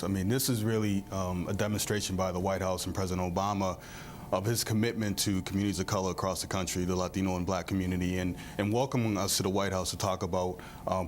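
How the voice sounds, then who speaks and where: A man's voice: deep voice, a little hiss or hum. A man from Massachusetts, a panel guest, speaking earnestly and using many fillers. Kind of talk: talk show interview.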